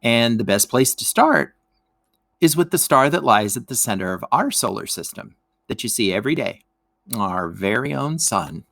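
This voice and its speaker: Guy doing a kids lesson read-aloud, teacher style, really animated up and down pitch, dry sound no echo. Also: normal speed, gravelly. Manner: expressive, explanatory, addresses young listeners directly. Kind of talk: scripted educational read-aloud for children.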